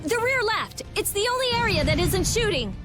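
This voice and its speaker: An actress playing a calm, dry-humored young woman fighter in a video game cutscene. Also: extremely high pitch, normal speed, over music. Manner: cool and dry.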